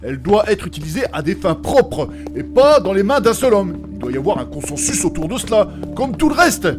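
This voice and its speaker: Man playing a high, animated, skeptical adventurer. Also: a little fast, music in the background. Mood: argumentative. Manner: blunt, contradicting, colloquial. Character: clever, skeptical, argumentative male adventurer. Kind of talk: scripted comic fantasy audio drama acting.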